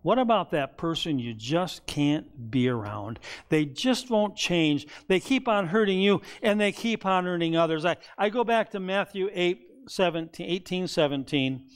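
Older man preaching in a high voice. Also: lively intonation, moderate pace, few pauses, clean tone. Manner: conversational preaching, acts out quoted voices with rising pitch. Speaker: pastor. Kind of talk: sermon. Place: church sanctuary, seated behind a wooden pulpit.

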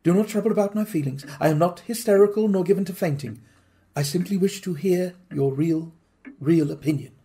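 A man's voice: high voice, a little fast, dark tone, husky, pitched above the performer's own voice. A man voicing an anxious, earnest Victorian wife who pleads in a formal, intense way. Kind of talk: audiobook reading.

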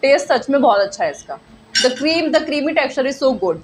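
High-voiced chatty woman food vlogger. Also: big pitch swings, slight background noise. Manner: chatty, mixes Hindi and English, slow drawn-out tasting remarks. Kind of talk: casual food review vlog. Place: small cafe indoors. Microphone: handheld phone camera at arm's length.

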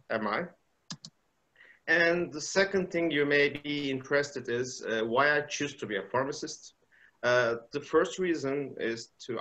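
A man from Turkey, a pharmacy professor, giving a webinar talk in a halting way with frequent fillers and restarts over a video call. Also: medium pitch, fairly bright tone, low-quality recording. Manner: hesitant, many uh fillers and restarts. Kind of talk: presentation lecture.

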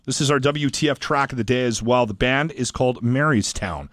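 A man's voice: mid-range voice, brisk, fairly bright tone, no echo. A man hosting a radio interview, joking and teasing his guests. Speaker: radio host.

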